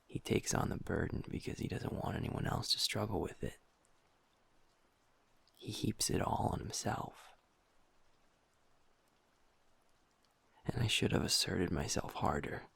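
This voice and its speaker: Brief: man playing a young werewolf beta, deep and very gravelly, breathy near-whisper, cursing, hard on himself. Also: moderate pace, full character voice. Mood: reflective. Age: young adult. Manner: soft, hushed, swearing, self-critical. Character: troubled young werewolf man, pack's second in command. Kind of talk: intimate audio roleplay.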